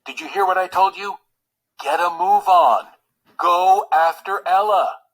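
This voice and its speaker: A man's voice: high voice, wildly swooping pitch, unhurried, gravelly, fairly bright tone, pitched above the performer's own voice, exaggerated voice, low-quality recording. A man voicing an older royal valet in a storybook, loyal and frank, advising his master. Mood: blunt, urging. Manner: formal and stiff, turning blunt and casual.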